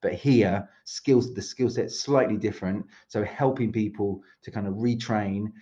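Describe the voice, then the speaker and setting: A man's voice: fairly low pitch, fairly even intonation, unhurried, dark tone. A man from London with a British accent, a speaker coach giving chatty, rambling interview answers over a video call. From London, England. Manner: chatty, rambling, with frequent restarts. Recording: dull lo-fi call audio.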